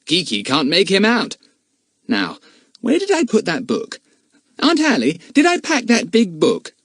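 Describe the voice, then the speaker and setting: A man putting on a feisty schoolboy with a high, animated voice that leaps about. He speaks emphatically and sometimes slowly for effect, in a dry recording. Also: husky, dry recording, full character voice. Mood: chatty. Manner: indignant, emphatic. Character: hot-tempered schoolboy, bird lover.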